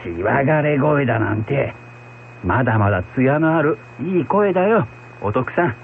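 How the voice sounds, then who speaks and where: Hard-drinking, friendly country packman played by a male actor, colloquial and animated, radio drama on a dull recording. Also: mid-range voice, moderate pace. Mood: curious, friendly. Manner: rough, folksy, colloquial. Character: good-natured, hard-drinking packhorse driver, rustic man. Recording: muffled radio recording with hum, faint noise.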